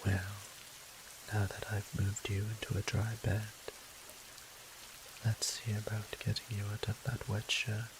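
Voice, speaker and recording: A man acting as a courteous, teasing vampire priest in an intimate audio drama. His soft, breathy voice sits on the low side and moves very slowly, with little rise or fall. Rain and hiss sound clearly behind him. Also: completely flat delivery, lightly acted. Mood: warm, reassuring. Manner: soft, breathy, courteous, old-fashioned phrasing. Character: gentle, teasing male vampire priest tending a stranger. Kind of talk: slow intimate audio roleplay, speaking to the listener.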